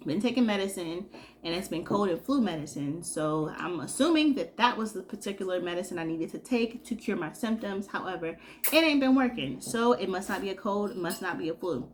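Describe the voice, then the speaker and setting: A sick, congested young woman from Georgia chatting casually in a vlog, telling a story in an animated way and acting out what people said. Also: mid-range voice, a little fast, slight background noise. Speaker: vlogger.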